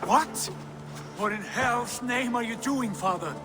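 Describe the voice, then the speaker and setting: An actor playing a hot-headed, defiant Viking prince in a game, with an indignant, forceful delivery. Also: male voice, very high voice, slow pace, over music. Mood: outraged. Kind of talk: dramatic scripted game acting.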